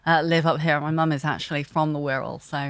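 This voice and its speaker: A young woman talking as an interview guest, thoughtful and relaxed, often pausing with fillers and restarting. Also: low-pitched, clear, resonant tone, no room sound. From Hong Kong. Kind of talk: casual interview talk.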